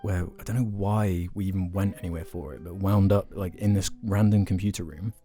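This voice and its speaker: Young podcast guy, low mellow voice, chill rambling chat, faint music under it. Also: steady tone, brisk. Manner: laid-back, chatty, reads listener questions aloud. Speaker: podcast co-host.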